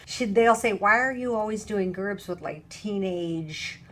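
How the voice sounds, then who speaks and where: A woman's voice: medium pitch, measured pace, fairly bright tone. A middle-aged woman hosting a casual conversation as a recovery counselor, talking emphatically and acting out little dialogues. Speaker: show host, recovery counselor.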